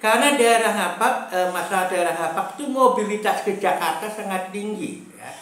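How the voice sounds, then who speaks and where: A man from Central Java giving a casual political monologue, pausing often with fillers and repeating himself, with a chuckle now and then. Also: high-pitched, fairly bright tone, heavy reverb. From Brebes, Central Java. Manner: slow, hesitant, many fillers and repetitions, chuckles often. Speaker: political commentator.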